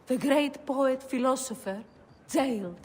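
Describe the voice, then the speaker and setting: An indignant, devoted wife in a game drama. Her high voice laments with passion, carried in a hall-like reverb. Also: unhurried, darkish tone, breathy edge. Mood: indignant, grieving. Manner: impassioned, lamenting.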